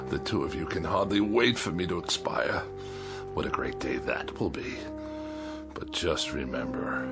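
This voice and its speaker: Peevish low-voiced hypochondriac husband. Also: male voice. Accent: American. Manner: querulous, melodramatic, accusing. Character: peevish, self-pitying, suspicious hypochondriac husband. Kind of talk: 1960s television drama acting. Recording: vintage TV soundtrack with music behind. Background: music.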